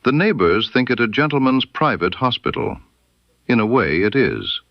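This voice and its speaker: A man voicing a cool, knowing woman informant in a mystery story, speaking softly and deliberately with guarded amusement. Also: mid-range voice, very animated, dry recording, dull audio, voice pushed up. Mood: amused, knowing. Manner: soft, deliberate, veiled warnings.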